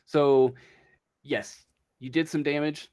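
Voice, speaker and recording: A man acting as game master, improvising a tabletop story in a casual, halting way as he searches for words. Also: fairly high pitch, steady tone, a little mellow.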